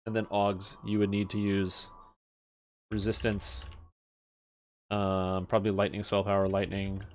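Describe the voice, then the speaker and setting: A man giving casual live game stream commentary, thinking aloud as he mutters through details and swears now and then. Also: lowish voice. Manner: muttering through item stats, profane.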